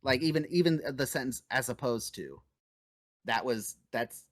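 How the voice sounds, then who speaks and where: Male podcast host, mid-pitched, casual and relaxed, dry close mic. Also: normal speed, no echo. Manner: relaxed, conversational, trails off quietly.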